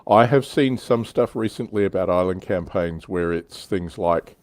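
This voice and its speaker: A man's voice: sits low, normal speed, a little mellow, no room sound. A man hosting a casual hobby livestream, chatting informally and jokily as he reads out viewer comments. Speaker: livestream host.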